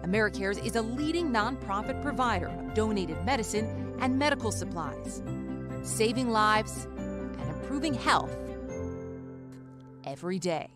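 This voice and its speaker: A woman giving scripted documentary narration in a steady, informative news-style read. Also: fairly high pitch, a little slow, pauses often. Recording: voice-over with loud music bed. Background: loud music.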